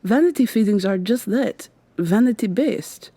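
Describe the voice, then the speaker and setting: A woman's voice: medium pitch, expressive, a little slow, breathy edge, no echo. A woman playing a weary, sardonic vampire receptionist with a secret, acting in a scripted ASMR roleplay. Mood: politely firm. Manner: polite customer-service patter, hushed urgent asides.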